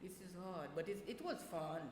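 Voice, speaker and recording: A woman telling casual anecdotes in an animated way, laughing often and acting out what people said. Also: on the low side, moderate pace. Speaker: guest, teacher of small children. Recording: roomy echoing space with faint noise.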